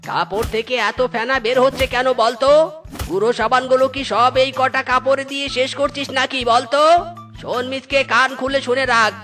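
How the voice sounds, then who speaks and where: Man acting bright-voiced, hot-tempered miserly uncle. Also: extremely high pitch, steady tone, a little fast, soft background music. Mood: irritated. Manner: exclamatory, scolding, complaining. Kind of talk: exaggerated Bengali cartoon comedy dialogue.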